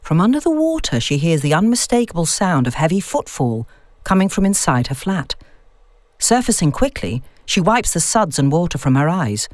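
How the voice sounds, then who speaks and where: A woman reading a scripted story as an audiobook narrator, in a measured, expressive storytelling manner. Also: fairly low pitch, dry recording. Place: dry, close-miked recording space.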